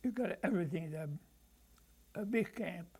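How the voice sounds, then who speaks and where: A man speaks in an oral history interview. His voice sits high for a man, and he talks haltingly, with many pauses and fillers. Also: a little mellow, husky. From Croatia. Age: 90s. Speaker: veteran being interviewed.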